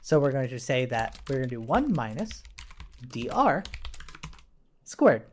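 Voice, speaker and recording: A man's voice: medium pitch, very animated, a little slow. A man giving a casual coding tutorial as an instructor, informally thinking aloud as he works. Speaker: programming instructor.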